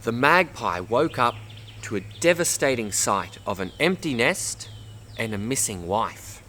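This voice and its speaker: An Australian man reads a children's story aloud in an expressive way, addressing young listeners as a storyteller. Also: mid-range voice, a little slow, slight background noise. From Bundaberg, Queensland, Australia. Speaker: storybook reader. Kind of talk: read-aloud children's story, narration with word teaching.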